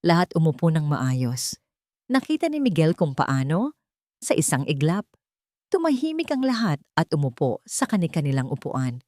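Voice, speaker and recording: A woman's voice: low-pitched, sing-song, moderate pace, darkish tone, no echo, studio quality. A woman reading a scripted romance story as a dramatic narrator.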